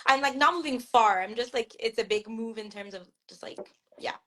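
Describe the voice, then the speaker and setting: Young woman, Québécois French accent, chatty author guest, slightly high voice, video-call sound. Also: moderate pace, fairly bright tone. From Montreal, Canada. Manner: chatty, many fillers and restarts. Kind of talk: casual video-call interview.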